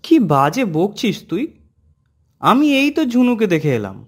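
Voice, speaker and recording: A man voicing and narrating as a young man returning to his village in a horror cartoon, swinging between eager and hushed tones. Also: sits high, big pitch swings, moderate pace. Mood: insistent. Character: young man Ajit, returning home, anxious.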